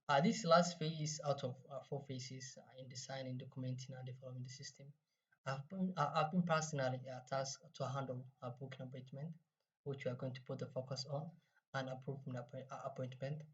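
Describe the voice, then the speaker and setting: Halting young Somali man, muffled and distant. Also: mid-range voice. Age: 20s. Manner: halting, repeats words and phrases. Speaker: university student presenting a project. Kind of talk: semi-scripted project presentation.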